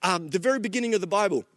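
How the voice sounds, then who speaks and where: A man's voice: sits high, expressive, fast, a bit bright, no echo, studio quality. A man born in El Salvador preaching a sermon to his church and home viewers, earnest and repetitive, with many fillers and restarts. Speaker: pastor.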